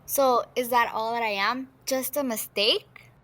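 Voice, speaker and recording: A woman's voice: a little high, unhurried, fairly bright tone. A young woman playing a cautious, anxious seventeen-year-old girl in a student audio drama, hesitant and defensive. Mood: anxious, self-doubting.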